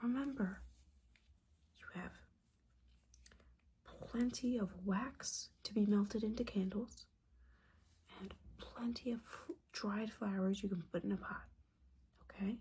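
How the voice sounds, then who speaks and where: A woman's voice: mid-range voice, steady tone, takes it slowly, pauses often, mellow, slight background noise, close to an everyday voice, poor audio. A woman playing a disappointed, sarcastic witch mentor in an ASMR roleplay, whispering breathily in a casual, sweary, scolding manner. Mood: stern, advising.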